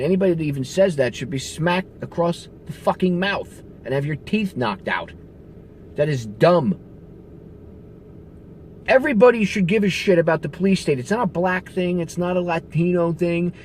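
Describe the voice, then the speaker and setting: A man's voice: fairly high pitch, a little mellow, slight background noise. A man ranting in profane, sarcastic political commentary, hammering points with heavy emphasis.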